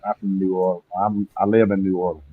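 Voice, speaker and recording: Casting: husky, deep man with a Southern US accent, casual talk over a tinny, narrow connection. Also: level tone, fast talker, dry recording. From Alabama. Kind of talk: casual chat. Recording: muffled, tinny phone-like line.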